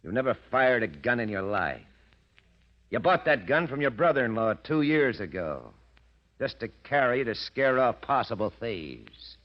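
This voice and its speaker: An actor playing a cold, taunting mind-reading crook in a 1940s radio drama. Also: male voice, medium pitch, sing-song, natural voice. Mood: taunting, confident. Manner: taunting, menacing, deliberate. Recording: muffled vintage radio recording.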